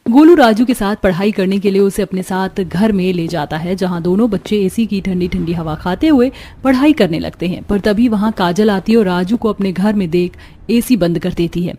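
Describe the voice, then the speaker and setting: A woman's voice: mid-range voice, moderate pace, warm tone. A woman reading scripted narration for a cartoon story. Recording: music behind the voice. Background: background music.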